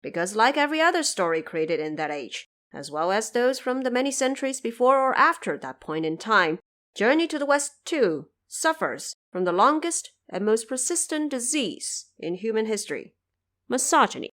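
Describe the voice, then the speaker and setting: A woman's voice: on the high side, big pitch swings, normal speed, a bit bright. A woman hosting a podcast in scripted explanatory narration, articulate and pointedly emphatic. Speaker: podcast host.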